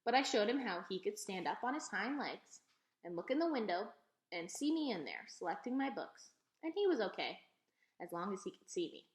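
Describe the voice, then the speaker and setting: A woman reading a children's novel aloud as an expressive storyteller. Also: medium pitch, normal speed. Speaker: read-aloud reader. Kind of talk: expressive children's storybook narration, told as a young girl. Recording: echoey, roomy sound.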